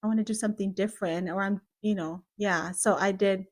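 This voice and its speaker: A woman who grew up in California, hosting a casual podcast chat, talking warmly and switching into Spanish now and then. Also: medium pitch, steady tone, brisk. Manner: chatty, laughs often, switches into Spanish. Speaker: host.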